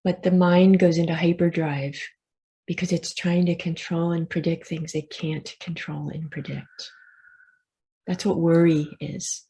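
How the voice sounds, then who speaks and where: Woman, meditation teacher, creaky, dark mellow tone, soft reassuring delivery, unhurried guided instruction. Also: on the low side, level tone. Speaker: meditation teacher leading a session.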